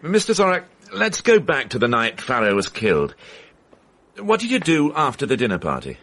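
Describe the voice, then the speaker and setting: A man's voice: medium pitch, wildly swooping pitch, moderate pace, a bit bright, heavily acted. An actor playing a dry, authoritative male police inspector in a radio drama, questioning in a clipped, probing way. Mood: measured.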